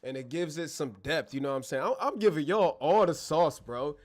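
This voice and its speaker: A man's voice: a little high, fairly quick. A man hosting a casual live Q&A stream from his bedroom studio, talking straight to his chat in a slangy, joking way, on a clip-on mic. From born in Germany, American. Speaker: livestream host. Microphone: wireless clip-on mic.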